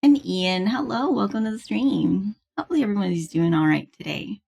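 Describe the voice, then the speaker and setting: A woman livestreamer chatting casually with viewers in a bubbly, giggly manner, with a slightly husky voice. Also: mid-range voice, brisk, darkish tone. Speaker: livestreamer hosting a gaming stream. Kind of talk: casual stream chat with viewers.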